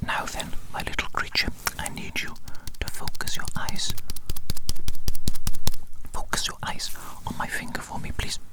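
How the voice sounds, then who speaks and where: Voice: a little slow, rough recording. A performer whispering close to the microphone as a pompous, manic evil scientist in an ASMR medical exam roleplay, insistently repeating precise phrases.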